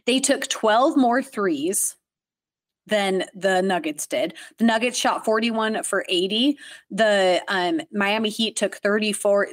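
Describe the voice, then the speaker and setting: A woman co-hosting a casual sports podcast, chatty and quick to laugh, saying "like" often. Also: mid-range voice, no echo, clean studio sound. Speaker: podcast co-host.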